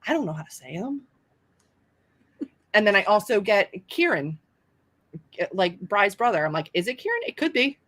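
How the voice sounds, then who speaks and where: A woman's voice: medium pitch, very animated, moderate pace, pauses often, a bit bright. A young adult woman, an author hosting a casual live stream, chatting in a self-deprecating way with lots of fillers.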